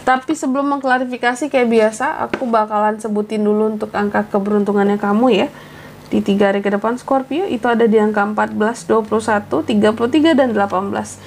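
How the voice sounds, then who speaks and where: A woman with a cold doing a casual, chatty tarot reading, keeping her voice soft and adding lots of fillers and tag questions. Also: medium pitch, steady tone, fast, slight background noise. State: has a cold, voice kept soft. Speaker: tarot reader.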